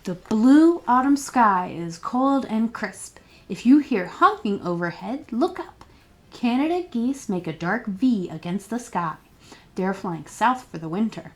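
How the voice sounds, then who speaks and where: A woman's voice: a little high, expressive, measured pace. A woman reading a children's picture book aloud as a storytime librarian, expressively and with questions put to young listeners.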